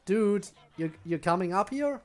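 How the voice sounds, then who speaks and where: A man's voice: sits high, lively intonation, measured pace, darkish tone. A man giving casual live gameplay commentary, often muttering to himself as he plays. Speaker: game streamer.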